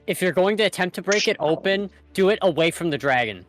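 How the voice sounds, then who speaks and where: A man's voice: sits high, expressive, fast talker, fairly bright tone, no echo. A man chatting casually as a player in a tabletop roleplaying game. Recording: faint music underneath. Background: faint music.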